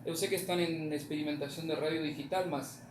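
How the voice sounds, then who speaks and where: Guy interviewing, mixing languages, halting, echoey and a bit far from the mic. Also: a little high, fairly even intonation. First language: Spanish. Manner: halting, mixes Spanish with Portuguese. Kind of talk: casual interview questions. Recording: echoey, noisy room, distant from mic.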